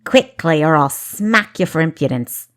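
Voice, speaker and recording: Woman voicing a gruff, impatient guard. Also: low-pitched, expressive, a little slow. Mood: impatient threat. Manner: snapping, threatening. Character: gruff, impatient male guard barking orders.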